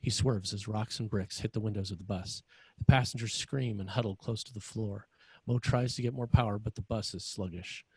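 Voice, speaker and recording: A man with a low, husky voice narrates a screenplay. He reads scene directions as scripted narration, and the sound is dry and close. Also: steady tone, brisk, a little mellow, dry recording. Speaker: narrator reading stage directions.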